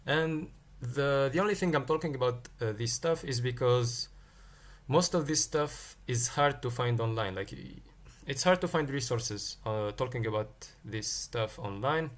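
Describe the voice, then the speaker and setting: A man's voice: mid-range voice, unhurried. A man from Tunisia, teaching a live-streamed coding lesson. He thinks aloud and answers chat questions as they come in. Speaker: livestream tech teacher.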